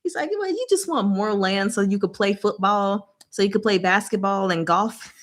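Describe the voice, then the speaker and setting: A woman with a mid-pitched voice talks through a story as if to friends. She is casual and playful, leaning on slang and slipping into characters' lines in her own everyday way of speaking. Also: normal speed. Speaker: host of a book discussion. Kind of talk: casual chatty recap of a novel's chapters.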